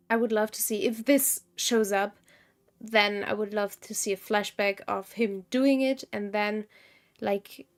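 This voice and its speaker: A woman doing casual live gameplay commentary, musing aloud and giving her opinions. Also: medium pitch. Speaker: game streamer. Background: game music.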